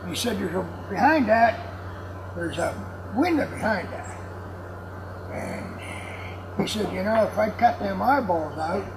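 A man's voice: high-pitched, expressive, unhurried, long pauses. A man in his seventies rambling through casual anecdotes in a folksy, salty way. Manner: folksy, salty, laughs while telling stories. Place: indoors in a warehouse with block walls. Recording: clearly noisy warehouse room ambience.

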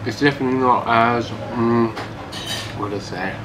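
A man's voice: mid-range voice, moderate pace. A young man with an English accent giving a casual food review while eating at a table. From England. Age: young adult. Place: small room, seated at wooden table. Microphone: camera across the table. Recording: noisy ambient room recording.

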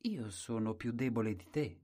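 A man's voice: mid-range voice, moderate pace, close to an everyday voice. A man voicing a humble, simple-minded young man at a royal court, speaking meekly and crying out eagerly. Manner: soft, humble, wide-eyed replies, cries out eagerly.

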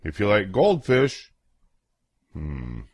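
An older man with a fairly low voice reads a picture book aloud to young children. His delivery is playful and expressive, with big swoops in pitch and a slow, unhurried pace. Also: dry recording, studio quality. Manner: playful, acts out exclamations and questions.

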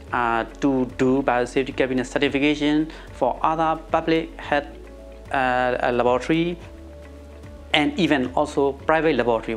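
A middle-aged man from Myanmar with a Burmese accent, answering interview questions haltingly on a lapel mic. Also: mid-range voice. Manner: halting, searching for words. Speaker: interviewee, laboratory professional. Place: indoor office room.

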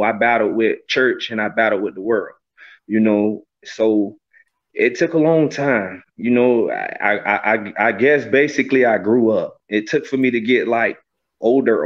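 A man's voice: on the low side, moderate pace. A man in his thirties, a gospel singer being interviewed over a video call from a small room, talking in an earnest, reflective way with many "you know" fillers. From Florida, USA. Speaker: gospel recording artist, guest. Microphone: webcam on a video call, close framing. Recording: slightly dull lo-fi video call audio.

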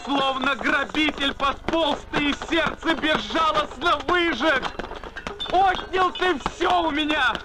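An actor playing a bitter, wounded young lover, reciting verse in an impassioned, declamatory way for an animated film. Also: male voice, very high voice, slow pace, very noisy. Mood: bitter grief. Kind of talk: dramatic recitation of ancient verse.

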